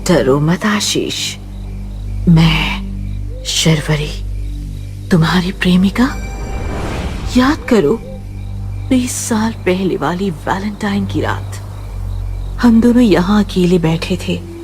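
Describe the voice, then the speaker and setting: A woman's voice: lowish voice, expressive, slow, long pauses, a little mellow, soft background music. Woman voicing a coquettish, possessive young ghost woman. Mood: eerie, longing. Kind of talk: dramatic horror storytelling.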